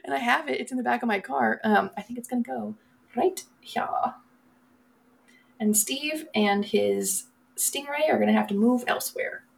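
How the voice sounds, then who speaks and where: Woman, vocal fry, casual podcast co-host, rambling, self-aware, tangent-prone. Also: a little high, brisk. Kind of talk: casual chat about horror movies.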